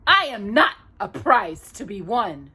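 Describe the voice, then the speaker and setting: Storybook heroine: proud, indignant young princess, played by a woman reader, defiant, with sweeping emphatic pitch. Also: big pitch swings, slow, bright, forceful tone, breathy edge, heavily acted.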